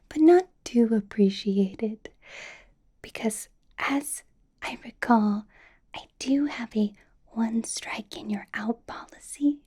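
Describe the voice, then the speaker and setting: A woman playing a possessive, sweetly menacing female vampire boss in a soft, whispered ASMR roleplay. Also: a little high, husky. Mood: coolly menacing. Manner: slow, coaxing, condescending endearments.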